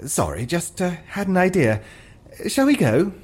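Man playing an eager high-pitched adventuring actor. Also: very animated, moderate pace, darkish tone, husky, exaggerated voice. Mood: bright, coaxing. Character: eager, fawning, nervous male actor turned adventurer.

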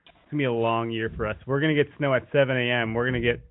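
A man's voice: medium pitch, steady tone, very fast, no room sound, narrow, tinny audio. A man from Wisconsin hosting a call-in radio show, teasing and joking with his guests. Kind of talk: casual radio chat.

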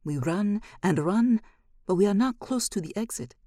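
A woman narrating scripted material as a podcast host, with a measured, expressive delivery. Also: mid-range voice, dry recording, studio quality.

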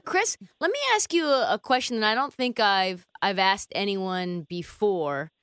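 A woman's voice: medium pitch, lively intonation, measured pace, a bit bright, smooth, dry recording, studio quality. A woman hosting a sports talk show in a conversational style.

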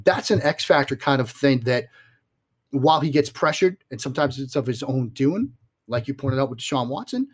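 A man's voice: mid-range voice, animated, moderate pace, slightly rough. Boston-accented man talking football. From Boston area, United States. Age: 40s. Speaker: guest football analyst. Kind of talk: conversational sports analysis.

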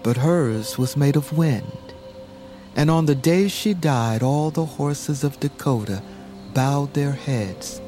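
A man narrating an audio drama legend in a solemn, measured, reverent way. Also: fairly high pitch. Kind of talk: slow scripted storytelling narration for an audio drama. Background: music.

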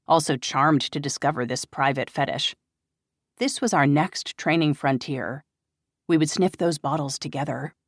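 A woman's voice: low voice, no room sound, clean studio sound. A woman narrating a first-person memoir as an audiobook.

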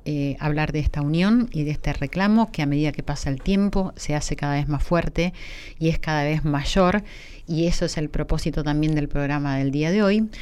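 A woman hosting a radio interview from a studio, speaking in a gentle, reflective way. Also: low voice, clear, resonant tone. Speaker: radio host.

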